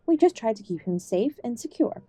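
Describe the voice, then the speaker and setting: A woman acts out a dismissive middle-aged mother in an audio roleplay. Her voice is mellow and soft-edged, captured very dry. She comes across as defensive and reproachful. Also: fairly high pitch, very animated, a little fast, breathy edge, dry recording. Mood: defensive, scolding. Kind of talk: audio roleplay drama acting.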